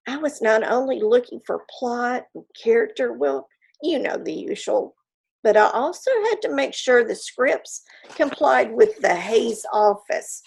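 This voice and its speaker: A woman's voice: mid-range voice, vocal fry, a little mellow. A woman playing a proud, gossipy 1940s Hollywood stage mother in a theatrical monologue, chatty and self-satisfied, with confiding asides. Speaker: actor in a staged reading.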